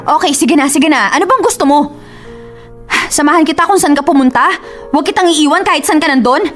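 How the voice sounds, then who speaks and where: A woman's voice: high-pitched, fairly quick, fairly bright tone, soft background music. A woman acting in a Filipino radio drama as a stubborn, scolding young woman who is fiercely loyal to her sister. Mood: pleading. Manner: emphatic, scolding.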